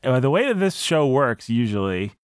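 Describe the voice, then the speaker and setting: A man hosts a podcast in casual chat, joking and teasing in a playful way. His pitch moves up and down a lot as he talks. The sound is dry and close to the mic. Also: normal speed, smooth, no room sound. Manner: jokey, playful, animated. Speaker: podcast host.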